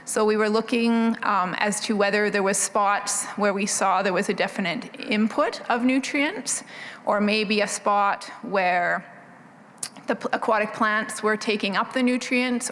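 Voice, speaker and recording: Soft-spoken woman presenting in a hall. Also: medium pitch, normal speed. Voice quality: quiet voice. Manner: soft-spoken, conversational, frequent ums and restarts. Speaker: conference presenter. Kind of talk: conference presentation. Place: conference meeting room, indoors. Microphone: podium mic on a stand. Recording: reverberant conference hall.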